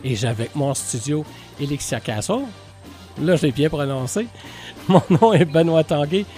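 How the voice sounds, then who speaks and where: A man's voice: a little high, animated, normal speed, warm tone, soft background music. A man with a Québécois French accent hosting a radio interview in the studio, chatty and joking as he asks his questions. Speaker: radio host.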